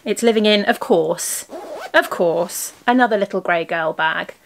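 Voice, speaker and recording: A woman with a hoarse, worn voice rambles cheerfully through a casual podcast chat. She talks the camera through her knitting projects piece by piece, correcting herself and wandering off on small asides as she goes. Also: mid-range voice, a little slow, a little hiss or hum. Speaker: crafting podcast host and yarn shop owner.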